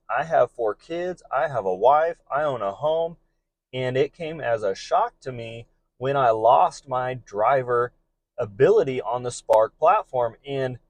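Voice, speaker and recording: A man with a medium-pitched voice talks informally, like a driver advising his peers in a vlog. He is earnest and encouraging, often restating his points. The sound is dry, with very little room in it. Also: a little mellow, no room sound, rough recording. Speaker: delivery driver giving advice to peers. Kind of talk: informal vlog advice monologue.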